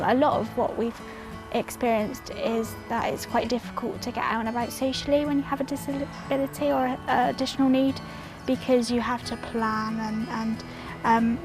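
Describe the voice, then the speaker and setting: Woman, kinda hesitant, flat higher voice, music underneath. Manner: hesitant, with fillers and restarts. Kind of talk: recorded testimony. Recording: over background music.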